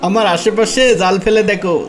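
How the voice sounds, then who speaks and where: A man playing a boastful, mocking fisherman in a cartoon, full of gloating exclamations. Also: high-pitched. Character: boastful, gloating, lucky idol-worshipping fisherman. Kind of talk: cartoon dialogue.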